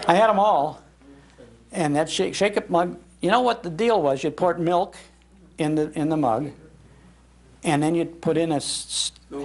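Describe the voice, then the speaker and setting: Older American man, higher-pitched, telling stories. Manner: animated, acts out quoted lines. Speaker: speaker giving a talk on old-time radio. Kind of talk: humorous anecdotal storytelling to a live audience. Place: public library talk.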